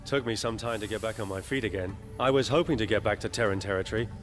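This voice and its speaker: An actor playing a wry, confident space mercenary pilot with a dry manner in video game cutscenes. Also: male voice, lowish voice, fast talker, faint music behind, close to an everyday voice.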